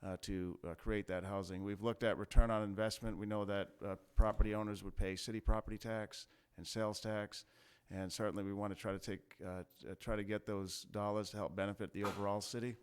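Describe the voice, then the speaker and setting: A man from city staff briefing a city council, measured and full of small pauses and restarts. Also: fairly low pitch, little pitch movement, clear, resonant tone. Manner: measured, many fillers and restarts. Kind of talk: formal briefing. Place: council chamber.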